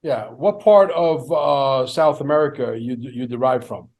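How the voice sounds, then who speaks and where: A man's voice: medium pitch, unhurried, a little mellow. A man hosting a casual interview, talking with enthusiasm.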